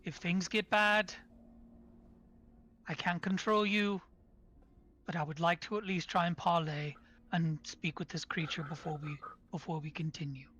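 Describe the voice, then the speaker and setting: A formal male adventurer, as a player acts him out, pleading for a truce in a hoarse voice. He talks slowly and carefully in the manner of a tabletop role-player. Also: mid-range voice, fairly even intonation, a bit bright. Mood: imploring. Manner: formal, imploring.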